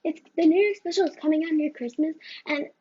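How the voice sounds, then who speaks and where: A woman's voice: sits high, fairly quick, warm tone, slight background noise. A woman vlogger ranting to the camera in a rambling way, often tacking on "right?" and "you know?", recorded on a phone. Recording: slightly muffled phone recording.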